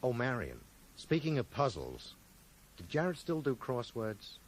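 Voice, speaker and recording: A man's voice: medium pitch, huge pitch swings, measured pace, a little hiss or hum, low-quality recording. An actor playing a determined, sardonic man in a radio drama, with a dry, questioning manner. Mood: probing, gentle. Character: determined, sardonic American man probing a friend's deception.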